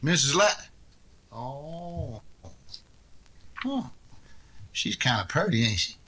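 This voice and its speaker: An actor playing an eager, naive, countrified deputy sidekick in a radio western. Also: male voice, medium pitch, big pitch swings, takes it slowly, crowd noise. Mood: curious, smitten. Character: excitable, simple, naive male deputy sidekick. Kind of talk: old-time radio western drama acting.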